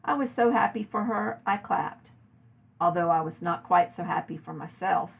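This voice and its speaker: A woman reading a children's novel aloud in an expressive narrating style, like a teacher reading to a class. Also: on the low side, normal speed, vocal fry, breathy edge. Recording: narrow, phone-like lo-fi audio.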